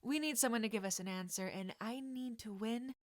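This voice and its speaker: A woman's voice: on the high side, fairly quick, natural voice. Woman playing a confident, caring teenage witch. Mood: casual. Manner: firm, casual, non-judgmental. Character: confident teenage witch girl, caring, bossy, quick-witted.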